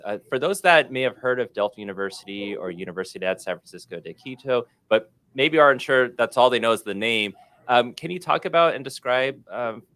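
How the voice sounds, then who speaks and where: A man's voice: medium pitch, fairly bright tone. A man hosting an interview podcast, upbeat, with frequent fillers like "you know" and "um". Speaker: podcast host.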